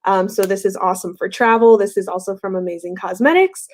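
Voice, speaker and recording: A woman's voice: mid-range voice, fast, darkish tone. Chatty woman hosting a makeup livestream. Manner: chatty, friendly, frequent "um" fillers and light laughs. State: recovering from illness, voice a little off. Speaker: makeup tutorial host. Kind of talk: casual livestream makeup tutorial.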